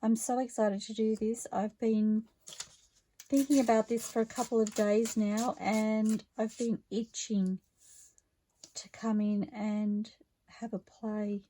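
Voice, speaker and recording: A woman with a slightly croaky voice, chatting her way through a craft project step by step in an unhurried, friendly tone. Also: a little high, little pitch movement, dark tone, slight background noise, rough recording. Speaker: craft vlogger. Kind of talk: relaxed craft narration while working.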